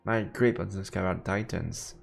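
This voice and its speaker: A man's voice: deep voice, moderate pace, vocal fry. A man narrating a visual novel aloud in a dramatic storytelling style as he streams. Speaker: streamer reading a game aloud. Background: faint music.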